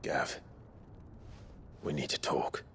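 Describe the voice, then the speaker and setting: An actor playing a grim, weary, protective warrior in a video game, grave, measured and hushed at times. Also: male voice, a little hiss or hum. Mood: grave, resolute. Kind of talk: dramatic video game acting. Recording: loud dramatic music behind.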